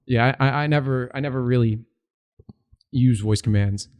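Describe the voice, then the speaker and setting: A man's voice: medium pitch, moderate pace, a little mellow. A young man co-hosting a podcast, chatting in an easygoing, rambling way with some restarts. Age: young adult. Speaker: podcast co-host. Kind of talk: casual chat.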